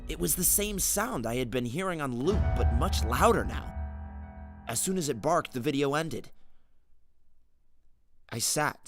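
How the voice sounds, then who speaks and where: A man's voice: mid-range voice, dry recording, studio quality. A man reading a scripted first-person horror story in a suspenseful, theatrical way. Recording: narration over clearly audible background music. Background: background music.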